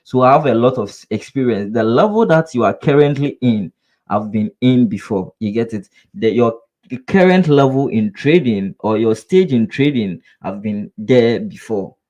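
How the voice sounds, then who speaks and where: A man's voice: mid-range voice, animated, moderate pace, darkish tone, muffled recording. A man from Ghana with a Ghanaian English accent, teaching trading in a live webinar, repeating himself and asking rhetorical "what?" questions. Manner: earnest, coaching address to viewers. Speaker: trading instructor.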